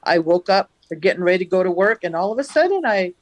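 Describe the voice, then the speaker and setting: A woman from the Navajo Nation, a farmer, recounting events in a studio interview with a loud voice and an animated telling. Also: fairly low pitch, quick pace. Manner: animated, acts out the dialogue she recounts. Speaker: farmer, guest.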